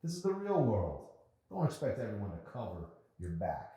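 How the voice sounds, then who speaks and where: A man's voice: on the low side, wildly swooping pitch, normal speed, mellow, voice pushed up. A man voicing a spiteful, sarcastic young female coworker while reading a novel. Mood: scornful. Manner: sarcastic, scolding. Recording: very echoey room.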